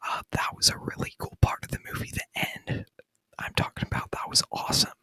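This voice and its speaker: A man speaking in a breathy, unvoiced whisper close to the microphone, chatting in an informal, rambling, enthusiastic ASMR review style. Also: a little fast, pushed and bright, no echo. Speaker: ASMR video creator and gamer. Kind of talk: whispered ASMR movie review, casual chat. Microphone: close microphone, dry sound.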